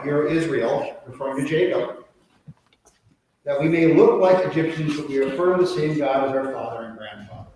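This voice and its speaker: A man's voice: a little high, darkish tone. A man leading a synagogue service, speaking informally and conversationally, often repeating and rephrasing as they go. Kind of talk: informal sermon and prayer leading at a live service. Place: large reverberant synagogue room during a service. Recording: very echoey hall, distant mic, faint noise.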